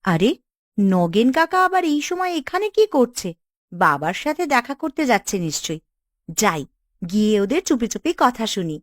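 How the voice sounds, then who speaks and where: A woman's voice: fairly high pitch, big pitch swings, normal speed, no echo, clean studio sound. A woman voicing an inquisitive, concerned young village woman in a cartoon, musing to herself. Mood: curious, alarmed. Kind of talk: cartoon dialogue.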